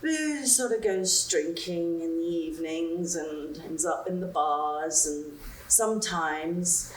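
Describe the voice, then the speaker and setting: A woman's voice: lowish voice, slow, soft background music. A woman performing a chirpy, nervous young woman on a phone call, chattering breathlessly and apologizing. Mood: fragile. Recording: roomy sound, mic far off.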